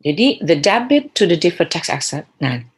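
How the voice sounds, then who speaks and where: Female lecturer, Indonesian accent, low register, husky tone, explanatory delivery with English terms mixed in. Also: very animated, moderate pace. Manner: explanatory, often tags phrases with check-in particles. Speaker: accounting lecturer.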